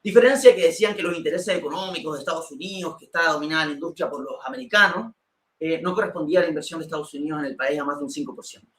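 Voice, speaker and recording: A man's voice: fairly high pitch, racing, a bit bright. A man presenting a political interview show as host, reading from prepared notes. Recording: roomy, echoey room sound.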